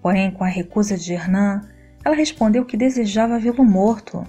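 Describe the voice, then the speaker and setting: A woman narrating a scripted soap opera episode recap in a steady, even read-aloud delivery. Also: medium pitch, normal speed, soft background music.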